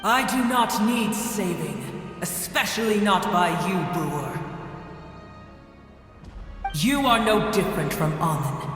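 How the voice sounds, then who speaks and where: Slow ancient dragon in cavernous reverb. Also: male voice, high-pitched, expressive, fairly bright tone, faint music behind, heavily acted. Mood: scornful, commanding. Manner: slow, grandiose, scornful. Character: ancient, proud, bitter dying male dragon. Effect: heavy cavernous reverb. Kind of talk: scripted fantasy game acting.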